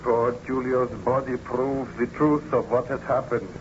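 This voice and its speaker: An actor playing a stern, appalled friend in old-time radio drama, grave, formal and reproachful, on an old radio recording. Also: male voice, mid-range voice, little pitch movement, a little slow, lo-fi sound, noisy background, close to an everyday voice.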